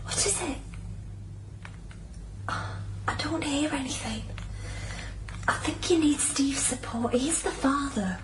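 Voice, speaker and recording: A woman acting in a radio drama as a concerned, supportive friend, speaking gently and earnestly. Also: high voice, slow pace, pauses often. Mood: coaxing. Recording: noisy radio drama ambience.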